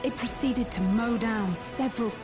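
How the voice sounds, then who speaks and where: A woman's voice: a little high, moderate pace, lightly acted. Woman playing a serious game heroine over music. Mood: grave. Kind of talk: dramatic video game acting.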